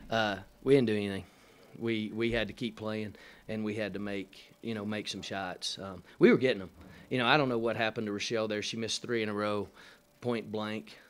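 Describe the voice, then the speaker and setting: A middle-aged man, a head coach, answering press questions after a game at a table mic, halting with many fillers and dry, matter-of-fact humor. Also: lowish voice, steady tone, clean tone. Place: press room in front of a sponsor backdrop. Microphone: table microphone.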